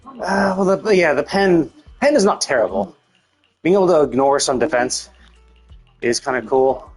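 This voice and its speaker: A man giving casual, unscripted gameplay commentary on a live stream, rambling and thinking out loud in a husky voice. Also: a little high, expressive, normal speed, faint music behind.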